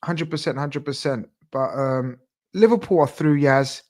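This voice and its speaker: Young male host, a touch high, upbeat, repetitive live-chat delivery. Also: a little slow, darkish tone. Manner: upbeat, repeats phrases, reads out chat comments. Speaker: show host. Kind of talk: casual live-stream football chat.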